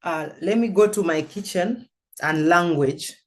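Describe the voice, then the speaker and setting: A woman's voice: lowish voice, a little slow. A woman from Mombasa whose first language is Swahili, speaking on a church panel about family. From Mombasa, Kenya.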